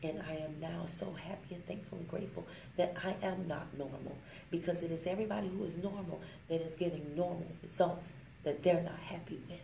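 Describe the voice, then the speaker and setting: A woman giving a motivational vlog talk on her smartphone, emphatic and preachy, slowing down to stress her points and fond of little rhymes. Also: fairly low pitch, steady tone, creaky, mellow. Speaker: network marketing vlogger. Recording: echoey room with faint ambient noise.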